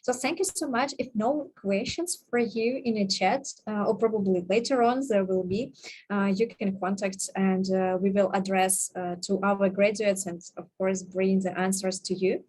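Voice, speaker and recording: A woman's voice: medium pitch, steady tone, normal speed, darkish tone. A woman hosting a webinar over a video call, moderating in a friendly, casual way. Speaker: webinar host.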